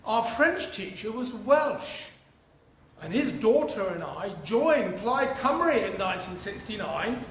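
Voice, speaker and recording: A man in his sixties with a posh English accent, reading his poetry outdoors in an animated, storytelling way. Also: very high-pitched, reverberant, slight background noise, low-quality recording. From East Africa. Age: 60s. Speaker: poet. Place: outdoors outside a cafe.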